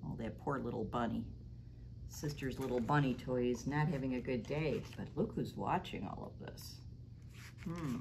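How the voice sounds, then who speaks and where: A middle-aged woman reading a picture book aloud to children as a library storytime host, animated and sing-song, now and then dropping to a stage whisper. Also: a bass voice, normal speed, gravelly, background noise. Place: small library room, indoors. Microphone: lapel mic.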